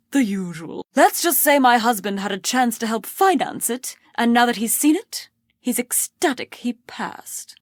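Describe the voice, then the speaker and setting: A woman's voice: on the high side, no echo, clean studio sound, lightly acted. A woman playing a haughty, wealthy society lady in a video game, gossiping in a snobbish, condescending manner. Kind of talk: scripted game voice acting, gossipy chat.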